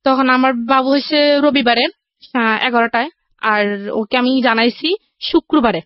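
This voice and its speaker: A woman's voice: a little high, fairly even intonation, normal speed, dry recording, highs cut off. A woman from rural Bangladesh with a Bangladeshi Bengali accent, telling her life story as an interview guest in a rambling, repetitive, conversational way.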